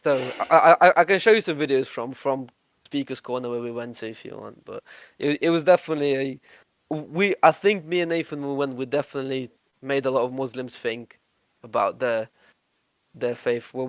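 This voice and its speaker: Brief: man from Russia, group host, quick stop-start talker, dry lo-fi call audio. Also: mid-range voice, dry recording. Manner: eager, talks in bursts with many restarts and fillers. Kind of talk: casual group voice chat.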